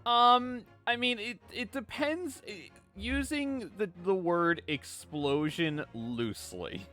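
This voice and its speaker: Bright, lively young-sounding streamer guy. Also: sits high, faint music behind. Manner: playful, teasing, quick laughter. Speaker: streamer hosting a group chat. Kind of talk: casual chat with friends.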